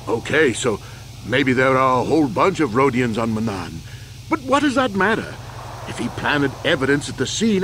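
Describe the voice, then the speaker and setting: An actor playing a proud, weary old war veteran accused of a crime, earnest and deliberate, in a video game. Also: male voice, medium pitch, fairly bright tone, a little hiss or hum. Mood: defensive, insistent. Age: older.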